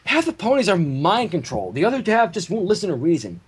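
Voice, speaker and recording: A weary soldier stallion from a cartoon storybook, voiced by a male reader. He sounds resigned and sighing, in a fairly high male voice that is slightly husky. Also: wildly swooping pitch, fast talker, exaggerated voice.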